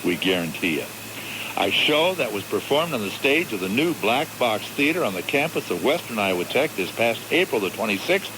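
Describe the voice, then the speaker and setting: Low-voiced older radio host, very noisy recording. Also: male voice, sing-song, moderate pace. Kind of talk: live radio show introduction and chat. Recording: very noisy live radio broadcast.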